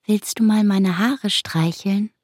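A woman playing a dreamy, lovestruck little girl in a German radio play, soft and breathy, with a naive, intimate manner. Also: medium pitch, fairly even intonation, slow pace, dry recording, studio quality. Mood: coaxing, intimate.

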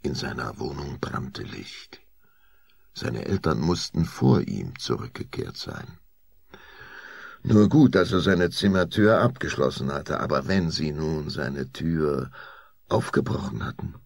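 A male narrator with an extremely low, husky voice tells a radio-play story. He is deliberate and suspenseful, and his intonation moves up and down expressively. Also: lively intonation, no echo, studio quality. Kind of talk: scripted dramatic audio-play narration.

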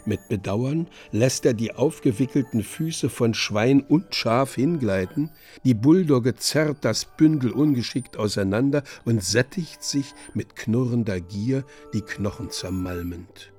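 A man narrating a radio drama as a measured storyteller, reading vivid literary stage directions deliberately. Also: medium pitch, expressive, a little mellow, slightly rough, soft background music.

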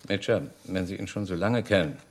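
A man playing a calm, authoritative police inspector in a German radio crime drama, with measured, courteous and commanding questioning. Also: sits low. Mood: businesslike.

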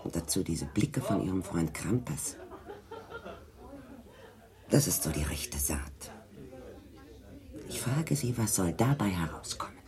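Radio drama: indignant old-fashioned moralizer, emphatic and scolding, very animated delivery. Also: female voice, rumbling low, slow, long pauses, a crowd in the background, exaggerated voice. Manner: sharp-tongued, censorious, emphatic. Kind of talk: German radio drama acting.

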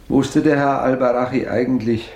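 An actor playing a reserved, melancholy baron in a radio play, speaking with formal detachment. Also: male voice, mid-range voice, steady tone, moderate pace, a little mellow, lo-fi sound, lightly acted. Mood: probing. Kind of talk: naturalistic German radio play acting.

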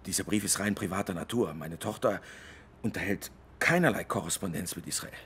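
Actor as a low-voiced, stiff engineer father in a radio play, faint noise. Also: a little fast, natural voice. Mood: deferential. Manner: stiff, deferential. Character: older German engineer father, stiff and deferential.

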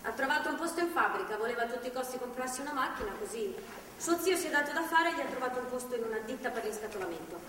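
A woman's voice: sits high, lively intonation, very fast. An actress playing a stubborn young captive woman in a stage drama, bitter and blunt, with coarse emphatic talk. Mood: guarded. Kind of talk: live stage drama dialogue. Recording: distant echoey theatre hall, faint noise.

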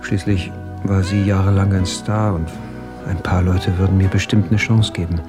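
A man's voice: deep voice, little pitch movement, moderate pace, close to an everyday voice. A man playing a bitter, resentful husband in a radio drama, speaking in an articulate, embittered way. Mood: aggrieved. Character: bitter, resentful husband trapped in a loveless marriage. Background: music.

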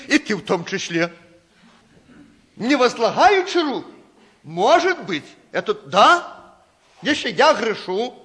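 A man's voice: extremely high pitch, sharp tone. A man preaching as a pastor in a conversational sermon, with many hesitant fillers and questions put to the congregation.

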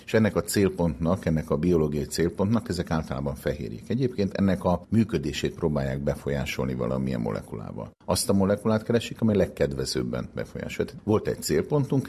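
A man's voice: very deep voice, lively intonation, creaky, mellow, a little hiss or hum. A man speaking as a research director in an interview, explaining science in long sentences and restating his terms as he goes.

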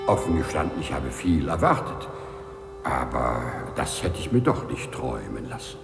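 An actor playing a polite, eccentric burglar in a formal, insistent, courteous manner, in a 1950s German radio play. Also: male voice, a bass voice, big pitch swings, moderate pace, exaggerated voice. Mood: savouring delight. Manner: formal, insistent, courteous, savouring his words. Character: polite, eccentric male burglar begging to be arrested. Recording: 1950s radio drama, husky sound, faint music.

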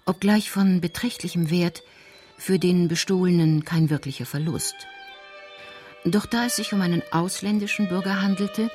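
Medium-pitched storyteller over faint music. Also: darkish tone. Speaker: narrator of a radio crime drama. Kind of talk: scripted literary narration.

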